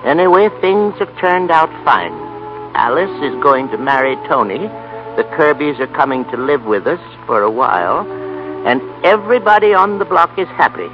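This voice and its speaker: A man's voice: on the high side, lively intonation, normal speed, music in the background, lightly acted. An actor playing a homespun, easygoing old American grandfather in a 1930s radio play. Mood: calm, grateful. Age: older. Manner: homespun, plain-spoken. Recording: dull vintage 1930s radio broadcast.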